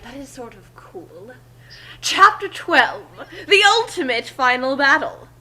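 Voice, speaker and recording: A woman's voice: a little high, sharp tone, poor audio. A woman narrating a story aloud in a dramatic reading, breaking off for casual commentary.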